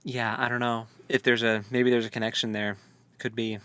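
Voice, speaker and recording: A man's voice: medium pitch, little pitch movement, normal speed, bright, forceful tone, dry recording. A man co-hosting a casual book discussion, speculating in loose, colloquial language. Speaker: co-host of a book read-along podcast.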